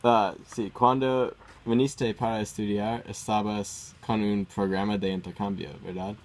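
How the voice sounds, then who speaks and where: Lowish-voiced male interviewer. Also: flat delivery, measured pace. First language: English. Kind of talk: simple prepared interview questions.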